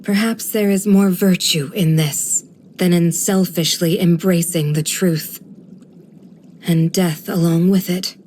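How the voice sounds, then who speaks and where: A woman voicing a serene, kindly guardian of a desert village in a video game, with a gentle, measured, formal storytelling delivery. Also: lowish voice, steady tone, slight background noise, poor audio. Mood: solemn, wistful. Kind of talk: scripted video game character voice lines.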